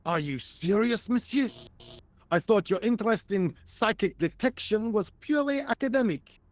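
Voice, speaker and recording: A man's voice: sits high, expressive, normal speed. A man playing a deferential, earnest and rather dim French police assistant with a French accent in a video game. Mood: dutiful, earnest. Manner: deferential, earnest, literal-minded.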